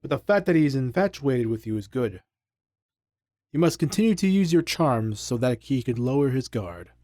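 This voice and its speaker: A man's voice: medium pitch, very animated, moderate pace, a little mellow, no echo, close to an everyday voice. A man acting a wry, confident adventurer, close and dry. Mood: wry, scheming. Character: confident, wry male leader of an adventuring trio. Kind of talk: audio drama acting.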